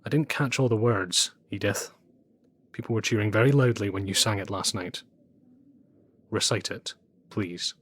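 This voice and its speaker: A man playing a Nazi official in an audio drama, formal and insinuating, with quiet menace under his courtesy. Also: lowish voice, normal speed, long pauses, husky. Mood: flattering, insinuating.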